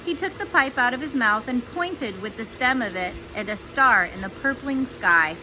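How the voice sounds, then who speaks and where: A woman's voice: on the high side, lively intonation, moderate pace, noisy background. A woman reading a storybook aloud for children, with chatty asides to the listeners. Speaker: storybook reader.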